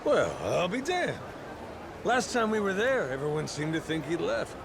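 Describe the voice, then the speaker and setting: Cocky rough-talking pirate captain, swooping voice. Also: male voice, sits high, big pitch swings, moderate pace, background noise, heavily acted. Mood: casual. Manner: brash, slangy drawl. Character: cocky, rough-talking Yakuza-turned-pirate captain, middle-aged man.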